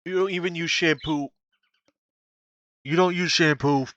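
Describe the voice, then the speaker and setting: Man, reaction host, blunt, crude, repeats phrases, forceful bright voice, dry slightly dull recording. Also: a little high, steady tone, a little slow. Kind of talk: casual reaction commentary.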